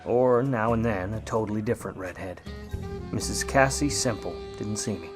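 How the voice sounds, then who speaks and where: An actor playing a sardonic, world-weary 1950s Hollywood private eye in a radio play, with hard-boiled delivery. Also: male voice, lowish voice, moderate pace, over music, natural voice. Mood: resigned narration. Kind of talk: noir radio play narration and dialogue.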